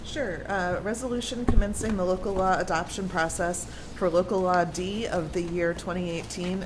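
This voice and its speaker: American female board member, slightly low register, presenting civic business over noticeable room noise. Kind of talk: reading a resolution and explaining it informally. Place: indoor town board meeting. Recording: noisy public meeting room ambience.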